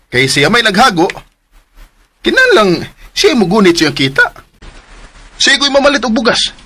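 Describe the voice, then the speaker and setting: A man with a Cebuano accent voicing an emphatic young man in a radio drama, lovesick and possessive, with a husky voice. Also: high voice, pushed and bright, exaggerated voice. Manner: emphatic, swings between pleading and bluster.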